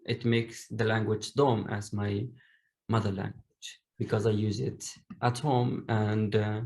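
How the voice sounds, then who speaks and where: A young man whose first language is Domari, speaking carefully and with many pauses as a panelist on a video call. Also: on the low side, darkish tone. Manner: slow, careful, pausing often. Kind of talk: online panel discussion.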